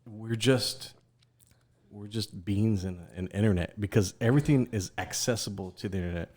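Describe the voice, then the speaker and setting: A man's voice: lowish voice, a little mellow. A man from Texas with a Texan accent, hosting a podcast and speaking in an emphatic, confident, opinionated way. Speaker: podcast host. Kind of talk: casual podcast conversation.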